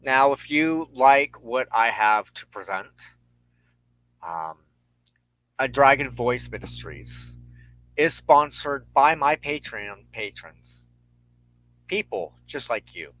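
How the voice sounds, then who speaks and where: A man hosting a spiritual show, speaking reflectively and earnestly in measured, halting short phrases with deliberate pauses. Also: medium pitch, lively intonation, a bit bright, no echo, low-quality recording. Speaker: host and minister of a spiritual show. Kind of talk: reflective spiritual talk to an online audience.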